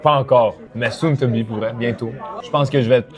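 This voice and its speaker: A man's voice: medium pitch, fairly even intonation, fairly quick, a crowd in the background. A young man from Iran, chatting casually and mixing in English slang while tasting food. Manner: slangy, mixes English into his French. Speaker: streamer host.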